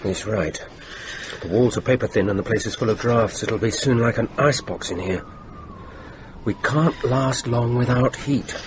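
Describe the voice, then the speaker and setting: An actor playing a practical, sceptical stranded traveller with a British accent in a radio drama, dry and measured with a grumbling manner. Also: male voice, mid-range voice, lightly acted. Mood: concerned. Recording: noisy radio drama with background ambience.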